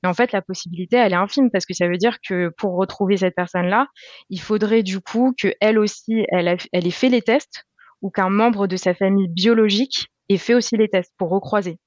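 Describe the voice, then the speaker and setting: Young woman on a podcast, calm step by step explaining, lots of little pauses, dry kinda muffled sound. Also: medium pitch, no echo. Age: 20s. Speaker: podcast guest. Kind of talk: casual explanatory chat.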